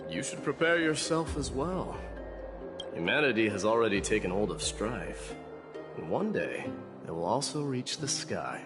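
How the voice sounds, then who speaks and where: An actor playing a stoic warrior prince and demigod in a fantasy game, speaking in a formal, grave, measured manner. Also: male voice, mid-range voice, big pitch swings, music in the background. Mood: solemn, resolute.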